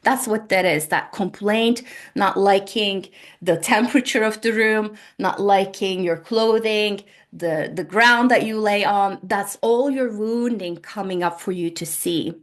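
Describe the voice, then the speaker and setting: Slow, gentle woman. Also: medium pitch. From Turkey. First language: Turkish. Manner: gentle, earnest, repeats phrases for emphasis. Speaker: spiritual healer and podcast host. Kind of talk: spiritual monologue to listeners. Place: home. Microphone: microphone on a laptop.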